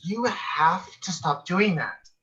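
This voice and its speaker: Man playing bright-voiced, flamboyant sales rep. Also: sits high, animated, takes it slowly, exaggerated voice. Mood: arch, knowing. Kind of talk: sitcom table read. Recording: dull, lo-fi video call.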